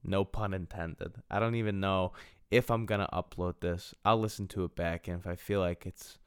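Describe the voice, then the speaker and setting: A young man in his twenties talks through a casual solo podcast, circling back over his own phrases and laughing at himself. His voice sits low and comes across smooth and resonant. The sound is close and dry, with no room echo. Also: steady tone, normal speed. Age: 20s. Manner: rambling, self-deprecating, repeats and restarts phrases. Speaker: podcast host.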